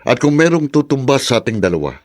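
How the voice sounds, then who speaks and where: A man's voice: medium pitch, big pitch swings, fast talker, no room sound, clean studio sound, lightly acted. A man with a Filipino accent playing a vengeful, accusing brother in a radio drama, stern and threatening. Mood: accusing, menacing. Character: menacing, vengeful male political rival, accusing brother.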